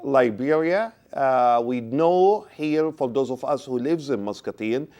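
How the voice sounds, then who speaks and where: A man's voice: medium pitch, a little slow. A man hosting a community TV interview, encouraging and full of follow-up questions. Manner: asks many follow-up questions, lively and encouraging. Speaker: talk show host.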